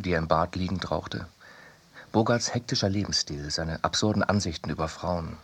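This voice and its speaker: A man narrating a literary radio piece in measured, clipped phrases. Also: deep voice, breathy edge.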